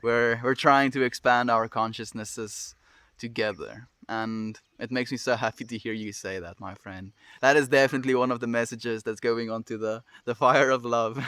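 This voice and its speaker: A young man with a South African accent talks warmly to his livestream chat, gentle and affectionate, often adding "my friend". His voice sits at an ordinary middle pitch. The sound is dry and close, with no room echo. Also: measured pace, clear, resonant tone. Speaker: livestream host reading chat.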